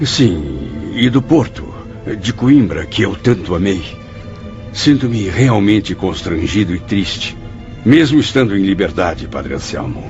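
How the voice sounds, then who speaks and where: Low-voiced, anguished male spirit. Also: big pitch swings, measured pace, a little mellow, full character voice. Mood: sad, constrained. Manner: solemn, emotive, formal diction. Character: anguished, fearful male spirit of a Portuguese writer. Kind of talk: dramatic radio drama acting and narration. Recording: dull lo-fi audio over music.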